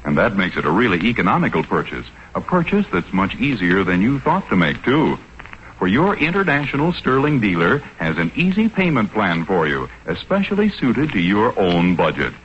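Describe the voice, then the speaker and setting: A man's voice: fairly low pitch, huge pitch swings, normal speed. A man with an American accent reading a 1940s radio advertisement as announcer, in a polished, emphatic sales delivery. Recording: muffled, hissy 1940s radio recording.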